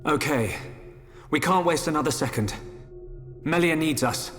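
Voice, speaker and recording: An actor playing an earnest, determined young hero in a game. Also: male voice, on the high side, measured pace, fairly bright tone. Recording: reverberant hall, music behind.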